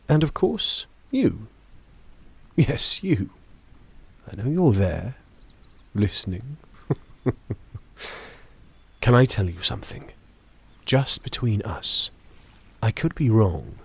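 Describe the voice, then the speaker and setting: A kindly middle-aged gentleman in a radio play. His voice is husky and moves expressively, with theatrical, musing pauses that give him a reflective, philosophical air. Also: on the low side, heavily acted. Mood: musing, confiding. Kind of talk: theatrical audio drama acting.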